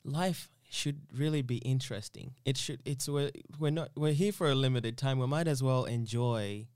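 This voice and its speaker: A man's voice: medium pitch, normal speed, darkish tone, clean tone, dry recording. A man from Uganda chatting casually as an interview guest, rambling and repeating himself with lots of "you know".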